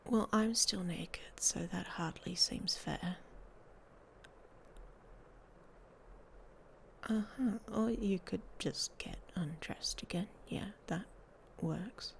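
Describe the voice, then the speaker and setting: A woman's voice: fairly low pitch, slow, few pauses. A woman playing a sardonic, guarded werewolf lover in an intimate ASMR romance roleplay, whispering close to the microphone in a dry, hesitant way. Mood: teasing, dry. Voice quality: breathy whisper with creaky edges.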